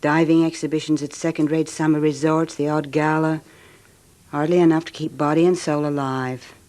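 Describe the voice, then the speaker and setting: Actress as languid, scheming unfaithful wife. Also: female voice, low-pitched, level tone, normal speed, darkish tone, close to an everyday voice. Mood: intimate, weary. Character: scheming unfaithful wife, intimate and world-weary. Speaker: radio drama actress. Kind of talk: 1970s radio drama acting.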